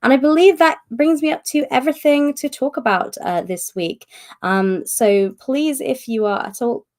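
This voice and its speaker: A woman's voice: high-pitched, moderate pace, a little mellow. A woman hosting a casual live-stream update, chatty and rambling with many fillers, her voice strained from a cough. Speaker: host of publisher's live stream.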